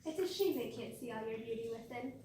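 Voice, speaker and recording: A teenage girl playing a kind, encouraging young pet owner, reciting rhymed lines gently. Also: a little high, lively intonation, quick pace, close to an everyday voice. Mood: tender. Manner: gentle, reassuring. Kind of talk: rhymed readers theater performance. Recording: echoey hall, distant mic, faint noise.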